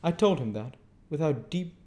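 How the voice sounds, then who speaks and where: Storybook matron, older, self-righteous and plaintive, male reader, raised pitch, abrupt emphatic delivery. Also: animated, moderate pace, warm tone, natural voice. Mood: self-righteous indignation. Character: self-pitying, indignant older society woman, abrupt.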